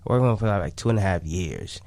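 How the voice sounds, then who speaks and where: A man's voice: deep voice, animated, quick pace, darkish tone, dry recording. A man talking casually as an interview guest, repeating himself and often saying "you know what I'm saying.". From Chicago, United States. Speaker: interview guest, music artist.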